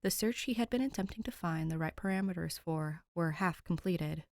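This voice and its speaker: A woman narrating fiction as an audiobook, measured and dramatic, with pauses between phrases. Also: lowish voice, no echo, studio quality. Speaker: podfic narrator.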